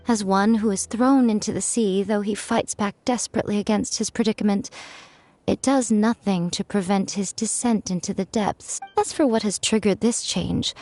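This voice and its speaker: An actress playing a sly, elegant noblewoman in a game, speaking with teasing, composed calm. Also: medium pitch, lightly acted.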